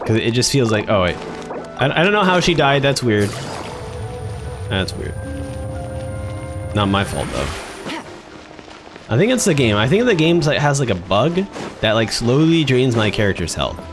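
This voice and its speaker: A man's voice: medium pitch, big pitch swings, normal speed, long pauses, music in the background. A man giving casual, joking, rambling live commentary as he streams a video game.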